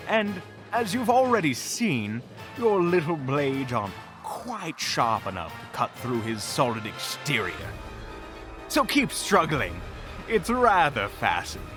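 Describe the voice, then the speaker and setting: Man playing a slow, swooping, smug villainous magician. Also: a little high, a bit bright, heavily acted. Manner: theatrical, taunting, drawn-out. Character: smug sadistic male magician villain, mockingly curious. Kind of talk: fantasy audio drama acting. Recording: background music under the voice.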